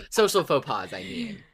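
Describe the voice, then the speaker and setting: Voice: mid-range voice, fairly bright tone, slightly rough. A podcast co-host chatting casually with wry, agreeable banter. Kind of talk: casual chat.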